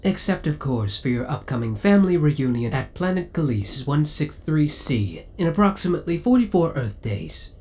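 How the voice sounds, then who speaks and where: Spaceship AI , played by a man: formal, courteous, politely obsessive, with animated pitch swings, for an ASMR roleplay. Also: normal speed. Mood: helpful, informative. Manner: formal, courteous, precise servant-like delivery. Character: devoted, possessive male spaceship AI, politely obsessive.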